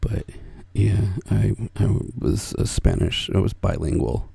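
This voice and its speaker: A low, gravelly American man speaks softly and close, his voice very breathy and husky, often sinking to a whisper. The tone is dark and mellow, and the sound is dry with no room around it. He wanders from thought to thought, correcting himself with small soft chuckles. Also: fairly even intonation, a little slow, no echo. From United States. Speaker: ASMR creator. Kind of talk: soft-spoken ASMR rambling chat.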